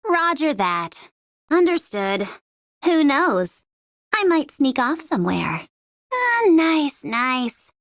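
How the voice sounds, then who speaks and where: A woman's voice: high voice, big pitch swings, takes it slowly, a bit bright, no room sound, clean studio sound, exaggerated voice. A woman voicing a young female mercenary bomber in a video game, gleeful and taunting, with a playful, sardonic, theatrical delivery. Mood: teasing, breezy. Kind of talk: video game character voice lines.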